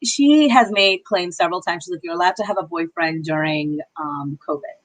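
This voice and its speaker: A young woman giving casual, opinionated commentary on a livestream, breaking off to answer her chat with quick apologies. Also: lowish voice, rough recording. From Pakistani heritage. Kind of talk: casual live-stream commentary reading chat.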